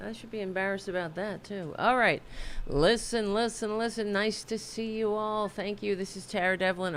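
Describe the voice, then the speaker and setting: A woman's voice: fairly low pitch, a little hiss or hum. A woman hosting a casual talk livestream from her home office, a bit under the weather and losing her voice, rambling and self-deprecating. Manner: rambling, chatty, self-deprecating, swears and corrects herself. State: losing her voice, a little sick. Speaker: host of a political talk livestream.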